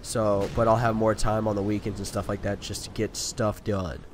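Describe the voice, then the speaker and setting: A man's voice: on the low side, flat delivery, moderate pace, a little hiss or hum. A man with a stuffy, nasal voice from being sick, rambling through casual commentary over his gameplay. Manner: rambling, talkative, frequent fillers. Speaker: gaming video creator. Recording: voice over loud gunfire game audio. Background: video game gunfire and sound effects.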